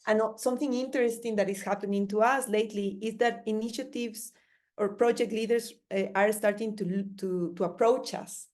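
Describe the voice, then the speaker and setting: A woman's voice: mid-range voice, a little mellow. A woman presenting at an online seminar, speaking with frequent restarts and fillers. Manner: hesitant, many restarts and fillers. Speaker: panelist.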